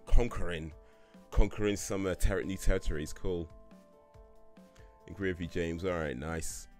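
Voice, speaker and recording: A man in his late thirties hosting a casual livestream Q&A, rambling through chat questions with lots of fillers and restarts and frequent chuckles. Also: sits low. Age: 30s.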